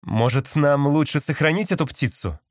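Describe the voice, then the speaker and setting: A man's voice: a little high, normal speed, no room sound, studio quality, voice pushed up, lightly acted. A man voicing a curt, irritable, grumbling man as an audiobook character. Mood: matter-of-fact. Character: gruff, irritable man, grudging and evasive.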